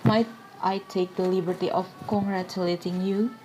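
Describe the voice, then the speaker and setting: A woman's voice: fairly low pitch, steady tone, normal speed, dark tone, a little hiss or hum, natural voice, poor audio. A woman acting a shy, eager young man in a stage play, polite, deferential and hesitant. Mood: shy, apologetic.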